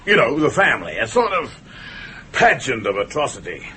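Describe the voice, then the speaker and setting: Pushy jovial film producer bore, sharp bright voice, radio play with some hiss. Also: male voice, mid-range voice, wildly swooping pitch, normal speed. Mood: enthusiastic. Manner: overbearing, jovial, wheedling. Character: brash, pushy middle-aged film producer, hearty bore. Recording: noisy radio drama recording.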